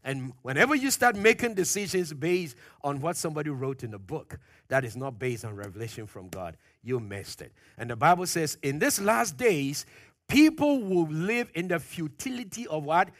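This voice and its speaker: Guy preaching a sermon, keeps quizzing the church, bright ringing voice, real dry close sound. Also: very animated, normal speed, no echo. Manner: emphatic preaching, questions to the congregation; swings from loud exclamations to low, quiet phrase endings. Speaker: pastor.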